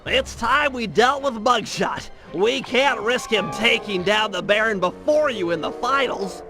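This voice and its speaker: A man's voice: sits high, animated, a little slow. A man voicing a nerdy, earnest young gadget genius who briefs in a precise, wordy way for a video game. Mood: serious. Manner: precise, formal, lecturing briefing delivery. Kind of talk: animated video game cutscene dialogue. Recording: loud music under the voice.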